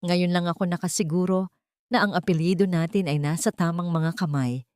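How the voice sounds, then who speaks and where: A woman's voice: fairly low pitch, fairly even intonation, moderate pace, darkish tone, dry recording, lightly acted. A woman voicing a rich older matriarch in a story, proud and snobbish, with haughty disdain.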